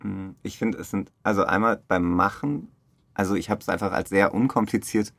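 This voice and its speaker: A man with a lowish voice and plenty of creak talks in a dry acoustic. He answers as a theatre director in a casual interview, searching for phrasing and restarting as he reflects. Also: moderate pace, a bit bright, dry recording. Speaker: theatre director interviewed about a radio play.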